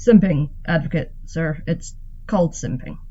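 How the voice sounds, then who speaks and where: A woman hosting an audio roleplay in a theatrical, in-character way, cheeky, sweary and expressive. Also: deep voice, moderate pace, husky, muffled recording. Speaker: voice actor and channel host.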